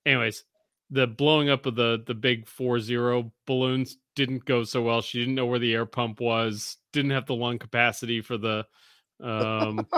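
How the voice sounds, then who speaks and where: A man in his forties hosts a podcast, rambling through a story in loose casual chat and cursing as he goes. His voice is bright and forward, and the sound is dry and close, with no room around it. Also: mid-range voice, dry recording. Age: 40s. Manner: rambling storyteller, swears freely. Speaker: podcast host.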